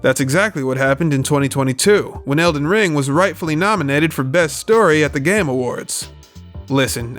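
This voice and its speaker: A man's voice: fairly high pitch, a bit bright. A man narrating a scripted, opinionated video essay in an emphatic, colloquial, sweary way. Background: music.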